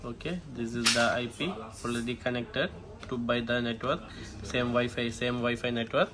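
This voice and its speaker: A man who is not a native English speaker gives a hands-on setup walkthrough in short, halting phrases with frequent okays. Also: mid-range voice, steady tone, sharp tone. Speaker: tutorial presenter, technician. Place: indoor shop or office. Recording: noisy room, clear background noise.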